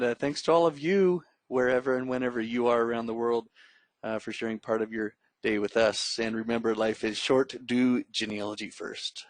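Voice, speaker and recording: A man's voice: medium pitch, no echo. Man hosting a webinar, close and dry. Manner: reads out viewer questions, friendly sign-off lines. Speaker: webinar host.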